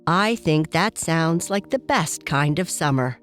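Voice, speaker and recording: A woman voicing a gentle, patient and loving father bear in a children's bedtime story, reassuring and playful. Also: sits low, animated, unhurried, faint music behind. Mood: tender.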